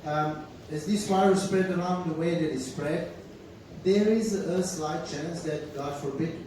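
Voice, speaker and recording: A man, a ship's captain, giving a chatty, joking speech to crew on stage, often asking "right?". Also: on the high side. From Croatia. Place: large theater hall on a cruise ship. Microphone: handheld, filmed from far in the audience. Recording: echoey hall from far off, noisy.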